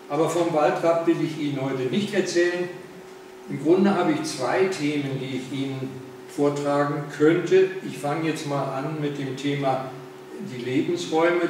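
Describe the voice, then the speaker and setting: An older man with a Berlin accent gives a relaxed public lecture, dropping fillers and turning to the audience with questions. He stands well back from the camera, so his voice comes across thin and distant. The room rings with heavy echo, and a steady background hiss sits under him. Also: medium pitch. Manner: conversational, with frequent fillers and asides to the audience. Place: large indoor meeting room. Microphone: far camera, no mic.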